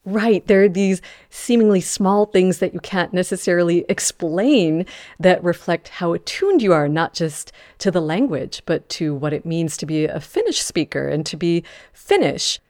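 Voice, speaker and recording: A woman's voice: on the low side, expressive, normal speed, a little mellow. A woman reporter telling a story in a conversational podcast style.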